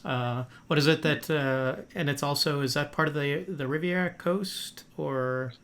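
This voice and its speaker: A man's voice: on the high side, measured pace, a bit bright. A man hosting a podcast interview in a friendly, casual way, with many fillers and restarts. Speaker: podcast host.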